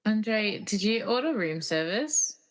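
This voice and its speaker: A woman's voice: mid-range voice, very animated, slow pace. Woman acting as impatient woman stuck in a film, mid-range voice, casual, podcast comedy drama. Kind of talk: amateur comedy radio play, conversational.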